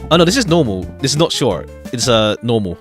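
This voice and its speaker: A man's voice: mid-range voice, very animated, brisk. A man streaming a game with casual commentary, rambling hesitantly and repeating phrases as he goes. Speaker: live streamer. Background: faint jazzy game music.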